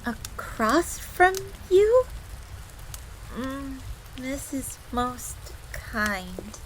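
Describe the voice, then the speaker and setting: A woman's voice: sits high, extremely slow, exaggerated voice, low-quality recording. A woman playing a proud, easily flustered fox-girl maid in a roleplay audio drama, speaking formally in the third person with stammers. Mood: shy, hesitant. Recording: steady rain noise throughout. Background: rain ambience.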